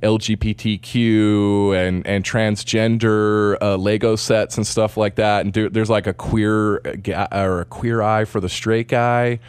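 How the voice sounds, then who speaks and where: A man with a fairly low voice rants through a casual podcast chat. He is sarcastic and rambling, with lots of "uh" and "like" as he restarts his thoughts, and the sound is dry and close to the mic. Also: flat delivery, a little slow, hardly any pauses, clean tone, dry recording. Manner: rambling, sarcastic, trails off into fillers.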